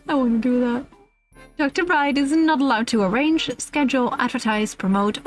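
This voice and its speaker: A woman streamer reading a comic list aloud on a livestream, giggly and often breaking off into casual asides. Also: fairly high pitch.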